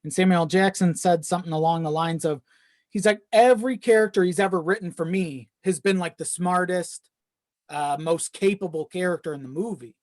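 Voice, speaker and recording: A man's voice: high voice, brisk, darkish tone. A man speaking as a podcast guest in casual, reflective chat, thinking aloud as he goes. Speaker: podcast guest, audio drama creator.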